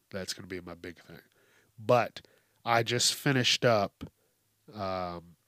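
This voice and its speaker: Congested, low-voiced man ranting bluntly. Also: dry recording, studio quality. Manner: profane, blunt, emphatic. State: congested from allergies. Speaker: podcast host. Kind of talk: casual ranting solo podcast talk. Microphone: close hypercardioid podcast microphone.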